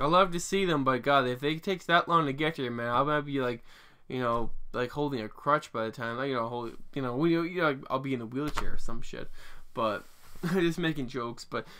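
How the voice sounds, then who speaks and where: A young man in his twenties talks his opinions through in a loose, rambling way, full of fillers and restarts, with a casual swear now and then. His voice sits a bit high for a man and sounds bright and smooth. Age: 20s. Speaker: vlogger.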